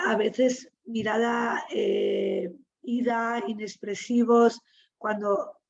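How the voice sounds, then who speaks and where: A woman's voice: a little high, darkish tone, highs cut off. A woman with a Spanish accent from Spain, a panel moderator speaking reflectively and searching for her words. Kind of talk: webinar panel talk.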